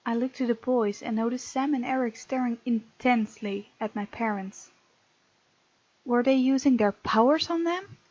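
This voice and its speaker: A woman's voice: fairly high pitch, normal speed, a little mellow, muffled recording. A woman hosting a gaming video, expressively reading game text aloud with casual, playful commentary.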